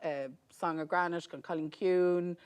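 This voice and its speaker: A woman's voice: lowish voice, steady tone, moderate pace, clear, resonant tone, lo-fi sound. An Irish woman moderating a film school panel, chatty and encouraging with the guests.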